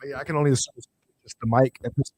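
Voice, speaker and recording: A man, a guest on a livestream call, talking casually with a loud, rumbling voice through a computer mic. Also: fairly even intonation, rapid-fire, no echo. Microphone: computer mic over a stream call.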